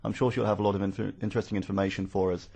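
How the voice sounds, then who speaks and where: A man working as a conference interpreter, rendering formal speeches live in a halting, phrase-by-phrase way. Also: on the low side, little pitch movement, creaky, darkish tone, husky.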